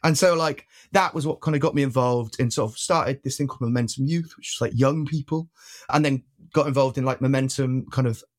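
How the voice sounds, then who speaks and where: A man's voice: medium pitch, a little fast. A man in his twenties from Wales with a slight Welsh accent, chatting as an interview guest in a rambling way, full of fillers and restarts. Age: 20s. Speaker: guest activist. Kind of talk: casual interview chat.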